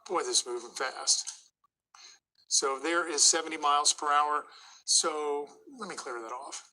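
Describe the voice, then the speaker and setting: A man's voice: a little high, measured pace, pushed and bright, low-quality recording. A man giving live severe weather commentary as a TV forecaster, urgent and explanatory, going through the situation place by place.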